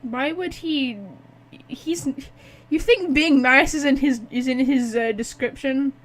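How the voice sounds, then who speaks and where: A woman giving casual, expressive gameplay commentary as a streamer, teasing and informal. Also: high-pitched, slow. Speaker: streamer reacting to a game story.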